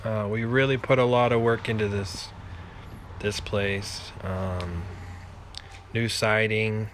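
A man in his thirties, a landlord giving a casual, halting, unscripted walkthrough voiceover. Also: on the low side, steady tone, a little hiss or hum. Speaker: landlord and home renovator.

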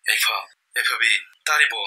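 A man playing a remorseful young man at the point of death, pleading in a strained, breathy voice in an audio play. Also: mid-range voice, rapid-fire, pushed and bright, low-quality recording. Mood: tender. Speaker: radio drama actor. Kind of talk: Manipuri audio play acting. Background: music score.

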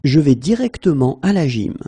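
A man who is a native French teacher, slowly drilling short phrases, saying each one whole and then word by word. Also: on the high side, very animated, mellow, slightly rough. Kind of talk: slow phrase-by-phrase language drill.

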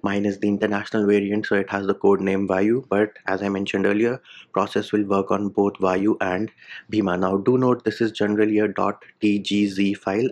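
A man narrating a screen-recorded software tutorial, explaining each step plainly with casual asides. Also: lowish voice. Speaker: tech tutorial host. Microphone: voice-over over screen capture.

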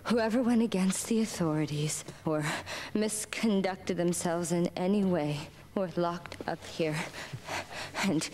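An actress playing a defiant young female spirit medium in a video game cutscene, speaking in halting, breathless phrases. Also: lowish voice, a little mellow, close to an everyday voice. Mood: grim. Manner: slow, halting, breathless delivery. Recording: noisy old game cutscene audio.

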